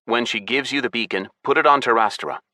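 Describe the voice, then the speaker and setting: A man's voice: mid-range voice, quick pace, pushed and bright, no echo, studio quality, voice pushed up, natural voice. Man as an earnest, decisive young hero. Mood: firm, urgent. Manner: earnest, firm, instructing. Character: serious, decisive young male gamer hero.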